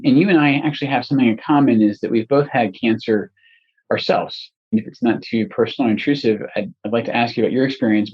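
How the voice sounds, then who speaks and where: A man from Scotland hosting a podcast interview, talking in a friendly, halting way with many restarts. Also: mid-range voice, slightly rough, highs cut off. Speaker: podcast host. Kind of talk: conversational interview.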